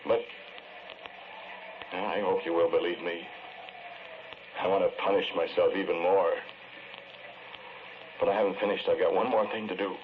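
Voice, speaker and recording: An actor playing a sombre, earnest confessed killer in a 1940s radio drama, speaking in a measured, hesitant, brooding way. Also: male voice, deep voice, fairly even intonation, natural voice. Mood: remorseful, resolute. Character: sombre, earnest man confessing to killing the woman he loved. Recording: noisy, muffled 1940s radio recording.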